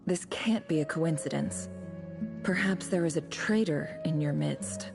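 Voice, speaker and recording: Smug female envoy for a game: lowish voice, formal, condescending, quietly threatening, music underneath. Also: a little slow, vocal fry. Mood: coolly threatening. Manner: formal, condescending, silky menace. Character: smug, scheming woman posing as a haughty ambassador. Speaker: game voice actor. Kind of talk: dramatic video game voice acting.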